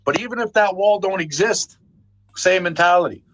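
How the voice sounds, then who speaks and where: A man's voice: sits high, moderate pace, a bit bright, rough recording. A man in his thirties speaking earnestly on a video call, tagging his points with "right?" and "you know". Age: 30s. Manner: earnest, repetitive, tags "right?". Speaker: podcast guest. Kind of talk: casual panel discussion.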